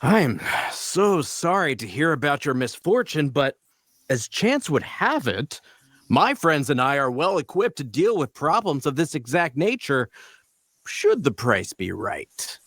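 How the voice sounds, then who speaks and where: Casting: male actor for charismatic fantasy party leader, silver-tongued, theatrically polite, very lively delivery. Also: fairly high pitch, unhurried, no room sound, exaggerated voice. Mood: smooth, reassuring. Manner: flattering, theatrically polite, persuasive. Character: charming, smooth-talking male leader of fantasy adventurers. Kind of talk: audio drama table read.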